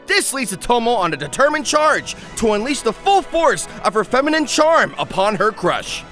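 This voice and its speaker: A man hosting an anime review with energetic, scripted comedic narration, delivered in a hammy, exclamatory manner. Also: very high-pitched, moderate pace, soft background music. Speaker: YouTube anime reviewer and host.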